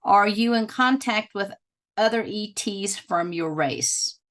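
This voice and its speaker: A woman moderating a conference Q&A, reading out audience questions in a polite, measured way. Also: medium pitch, fairly bright tone.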